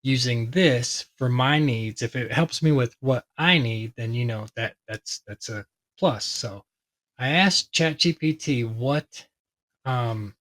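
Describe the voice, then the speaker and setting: A man with a hoarse voice talking casually and ramblingly as he explains a tech topic to his viewers, with many fillers. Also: mid-range voice, takes it slowly, fairly bright tone. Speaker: tech video host.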